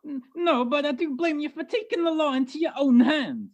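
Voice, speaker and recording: A woman's voice: high voice, normal speed, a little mellow, close to an everyday voice. A woman playing a suspicious old male police sergeant who talks in needling tough-guy slang in a radio detective play. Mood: accusing. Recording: muffled video-call recording.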